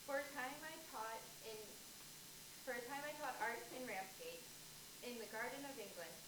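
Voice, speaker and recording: A woman's voice: a little high, moderate pace, lightly acted. A woman playing a male painter droid who introduces himself in an earnest, recited staged-reading monologue. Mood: earnest, factual. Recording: distant echoey room, clearly noisy.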